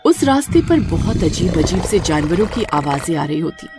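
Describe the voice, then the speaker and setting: A narrator reads a scripted story dramatically. The pitch moves in a lively way, at a middle height. Faint music plays underneath. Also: normal speed.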